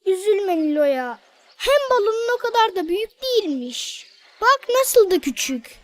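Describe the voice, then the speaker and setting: Cartoon playmate: small boy, very high dry voice, eager, playful, friendly teasing. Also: very animated, unhurried, no room sound, full character voice. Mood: apologetic. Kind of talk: children's cartoon dialogue.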